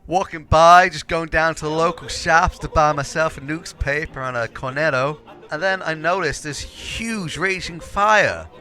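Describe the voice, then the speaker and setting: A man's voice: a little high, pushed and bright. A man playing a chummy, long-winded robot doctor in a comic audio drama, hesitant and fond of tall tales.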